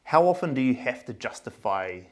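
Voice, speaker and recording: A man hosting a podcast, prompting his guest with quick yeahs and probing questions. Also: medium pitch, very animated, a little mellow. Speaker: podcast host. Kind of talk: casual interview chat.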